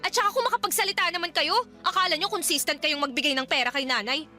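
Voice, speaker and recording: A woman playing a resentful, sharp-tongued young Filipino daughter in a radio drama, confrontational and sarcastic. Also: sits high, fast talker, soft background music. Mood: accusing.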